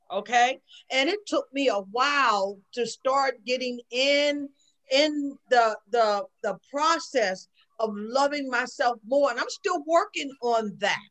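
A woman in her sixties with a high voice, talking over a video call from home. She speaks emphatically, like a sermon, and keeps checking in with "okay?". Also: fairly bright tone, poor audio. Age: 60s. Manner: preachy, emphatic, repeats "okay?". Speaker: guest. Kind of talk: casual chat on a video call. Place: home room.

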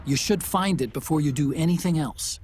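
An actor playing a nerdy engineer giving a technical mission briefing over a radio link in a video game. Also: male voice, fairly high pitch, fairly quick. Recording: game audio with steady noise.

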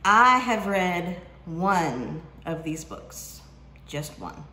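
A woman's voice: on the low side, sing-song, extremely slow, pushed and bright, a little hiss or hum. A woman, chatting casually to camera about books from her home kitchen and drawing out her questions in a teasing way. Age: 40s. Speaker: book vlogger. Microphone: camera at desk distance. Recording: roomy kitchen echo.

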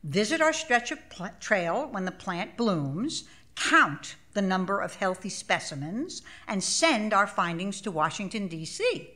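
Woman, 70s, author reading onstage: slow, expressive, wide-ranging intonation, dry wit. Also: mid-range voice. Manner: measured, expressive, wry delivery. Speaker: author reading own essay. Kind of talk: literary essay reading for a live audience. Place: small theater stage, live event. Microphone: stage microphone.

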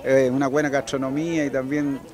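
A man's voice: mid-range voice, little pitch movement, fairly quick. A Chilean man inviting the public in an outdoor interview into a handheld mic. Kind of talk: on-camera interview. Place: outdoor fair. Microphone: handheld news mic.

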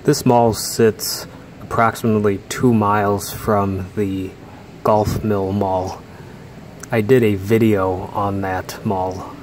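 A man with a low, even voice gives a relaxed walking-tour commentary as he films inside a large, mostly empty mall. He speaks in a flat, unhurried way and pauses as he goes. A faint hum of indoor ambience sits behind him. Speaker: mall explorer vlogger.

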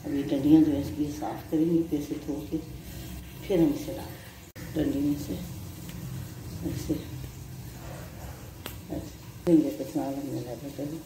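A middle-aged woman calmly explaining a home recipe step by step, naming each ingredient as she goes. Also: low-pitched, unhurried, long pauses. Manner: plain, homely instructional talk. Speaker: home cook. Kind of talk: step-by-step cooking tutorial. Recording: roomy, noisy home recording.

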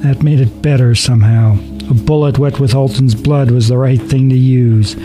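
A man reading a horror story as a dramatic narrator, deliberate and suspenseful. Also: mid-range voice, fairly even intonation. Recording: narration over background music.

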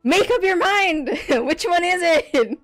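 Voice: very high-pitched, lively intonation, takes it slowly, fairly bright tone, faint music behind. A young adult streamer chatting casually over gameplay in their bedroom, rambling and laughing often. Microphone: desk microphone.

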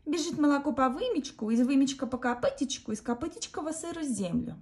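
Out of an old storybook comes a crafty, hungry male wolf, played by a woman. He chants his lines loudly and raises his voice higher than the teller's own, swinging into a thin, sugary squeak when he pretends to be someone else. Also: animated, brisk. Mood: falsely sweet. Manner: loud chanting, later squeaky fake-sweet.

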